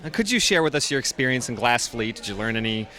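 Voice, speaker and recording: A man's voice: mid-range voice, very animated, fast, sharp tone. A man interviewing a guest at a convention, upbeat and asking short prepared questions. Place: outdoors at a convention.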